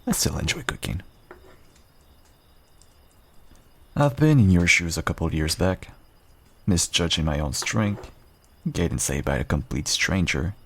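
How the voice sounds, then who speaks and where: A man playing a guarded but kind half-wolf rogue in an intimate fantasy audio roleplay, unhurried and dryly understated. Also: animated, fairly bright tone, heavily acted. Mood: guarded, caring. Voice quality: low, gravelly, husky. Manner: unhurried, dry, understated, self-deprecating.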